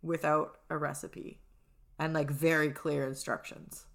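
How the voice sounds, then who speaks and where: A woman co-hosting a podcast in casual, excitable, chatty banter. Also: low-pitched, a little slow, vocal fry. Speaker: podcast co-host.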